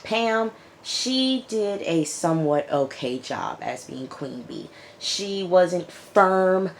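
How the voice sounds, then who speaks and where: A woman with a raspy, hoarse voice from recent illness, reviewing a TV episode in a casual vlog and repeating her points for emphasis. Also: fairly low pitch, expressive, slow pace, slight background noise. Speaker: YouTube TV reviewer.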